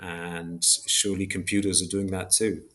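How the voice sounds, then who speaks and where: Low-voiced man, hesitant reflective academic. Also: level tone, moderate pace, a bit bright. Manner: hesitant, self-correcting, reflective academic explaining. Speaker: interview guest, academic philosopher. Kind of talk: thoughtful interview discussion.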